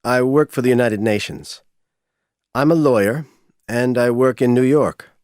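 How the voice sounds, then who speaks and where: A man's voice: mid-range voice, a little slow, a little mellow, dry recording, clean studio sound. A man from Argentina, introducing himself in a plain, friendly way. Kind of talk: scripted self-introduction.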